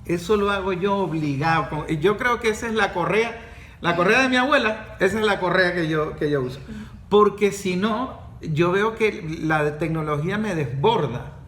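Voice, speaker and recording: High-voiced Venezuelan man in a roomy studio. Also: moderate pace. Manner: animated storyteller with frequent restarts. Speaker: podcast host and course facilitator. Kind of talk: casual podcast discussion.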